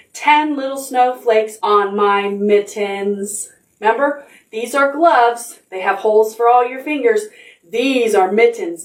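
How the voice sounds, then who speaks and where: A woman's voice: medium pitch, echoey room, recorded from a distance, low-quality recording. A woman librarian leading preschool storytime, sing-song and encouraging as she talks to small children. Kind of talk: preschool storytime with songs and reading.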